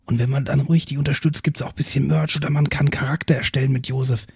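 A man with a breathy, husky voice bantering casually and offhandedly as a podcast host. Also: mid-range voice, flat delivery, fast talker, a little mellow, no echo, clean studio sound. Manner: laid-back, offhand. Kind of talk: casual improvised banter, mock-reluctant plug.

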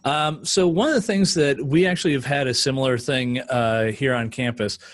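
Guy hosting a casual panel chat, animated, does little quote voices, dry close mic. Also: medium pitch, normal speed, dry recording. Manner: animated storyteller, acting out quotes. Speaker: podcast host leading a panel discussion. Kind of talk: casual conversational talk.

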